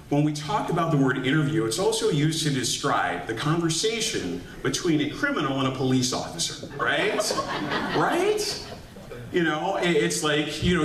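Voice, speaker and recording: A man, an executive coach, giving a lively motivational workshop talk to an audience, often tossing "right?" back at the room. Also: on the high side, far from the mic. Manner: upbeat, calls to the audience with "right?". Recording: echoey room recorded from afar with faint hiss.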